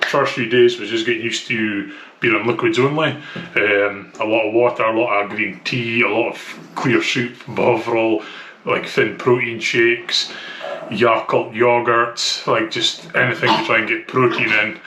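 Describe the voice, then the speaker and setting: Bright-voiced Scottish man, echoey room. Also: mid-range voice, fairly even intonation, measured pace, a little hiss or hum. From Scotland. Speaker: vlogger. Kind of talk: casual personal vlog to camera.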